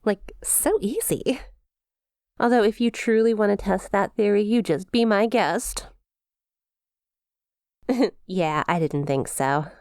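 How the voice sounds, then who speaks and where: A woman's voice: mid-range voice, slow, long pauses, darkish tone, no room sound, studio quality. A woman playing a perky, smug demon imp in an ASMR roleplay, teasing with playful mock sympathy and a possessive streak. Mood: smug, menacing. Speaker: audio roleplay performer.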